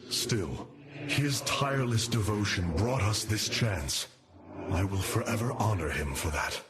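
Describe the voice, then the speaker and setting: A man playing a noble alien warrior leader in a video game, solemn and resolute, with measured, stately, formal delivery. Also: lowish voice, expressive, background noise. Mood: reverent. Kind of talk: dramatic video game voice acting. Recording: loud music behind the voice.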